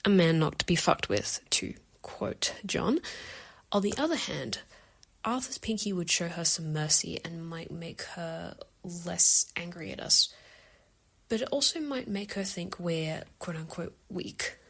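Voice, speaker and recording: A woman's voice: fairly low pitch, expressive, a little slow, gravelly, fairly bright tone, slightly rough. A woman giving casual opinion commentary in a listener's voice submission.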